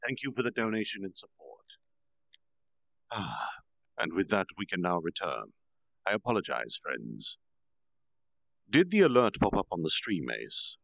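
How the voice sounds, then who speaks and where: A man reading a novel aloud on a stream, earnest, with quick apologetic asides. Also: deep voice, huge pitch swings, vocal fry, fairly bright tone, no room sound, low-quality recording. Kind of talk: casual storytime reading.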